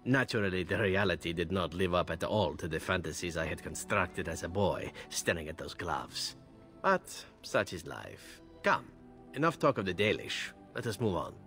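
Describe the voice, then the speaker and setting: A man's voice: sits low, lively intonation, moderate pace, a bit bright, soft background music. A suave male elf assassin from a fantasy game speaks. He is wry and world-weary, telling his tales with easy nonchalance. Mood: matter-of-fact, resigned. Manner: smooth, nonchalant storytelling.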